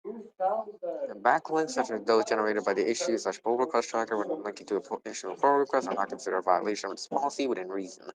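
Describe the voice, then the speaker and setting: A man's voice: lowish voice, expressive, fast talker, a loud crowd, poor audio. A man reading a technical policy document aloud, stumbling over words and repeating phrases as he goes. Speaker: reader.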